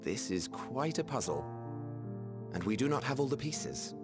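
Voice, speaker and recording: An actor playing a calm, reflective scholar of an ancient people in a game cutscene, politely reasoning in archaic phrasing. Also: male voice, medium pitch, unhurried. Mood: musing, reasoning. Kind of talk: video game cutscene voice acting. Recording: music under the voice.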